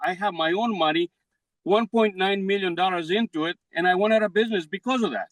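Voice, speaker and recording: A man in his sixties with a Greek accent, pleading his case in court in halting second-language English. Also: high-pitched, a bit bright, dry recording, poor audio. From Greece. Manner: halting, non-native phrasing. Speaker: defendant in a civil case.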